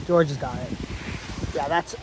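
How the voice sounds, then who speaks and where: A man's voice: fairly high pitch, measured pace. A young man vlogging in casual, slangy, joking chat and commentary outdoors. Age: young adult. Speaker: vlog host, skier. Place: outdoors on a ski hill. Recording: outdoor phone recording with noisy wind.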